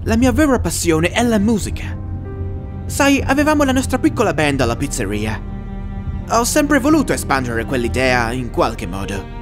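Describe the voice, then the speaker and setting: A performer voicing an earnest, exasperated animatronic bear in an expressive, theatrical cartoon dub. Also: mid-range voice, normal speed, music in the background. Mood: wistful.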